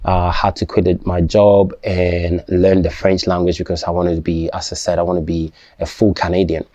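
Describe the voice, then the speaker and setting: Young guy, english not his first language, low mellow voice, stops and restarts a lot, dry close sound. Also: little pitch movement, dry recording, lo-fi sound. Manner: halting, restarts often, asks "you understand?". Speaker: vlogger. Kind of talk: casual personal talk to camera.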